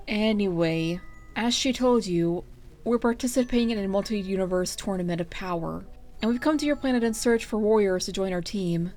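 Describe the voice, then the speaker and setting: A patient eldest brother and team leader voiced by a woman in a storybook adventure. He speaks politely and with restraint at a middle pitch, with music behind. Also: normal speed, darkish tone, lightly acted. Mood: courteous.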